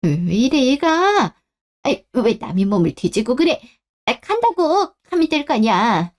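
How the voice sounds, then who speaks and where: A woman voicing a sharp-tongued older mother-in-law who later turns remorseful in a radio drama. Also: high-pitched, very animated, unhurried. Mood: indignant. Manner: scolding, then remorseful and pleading.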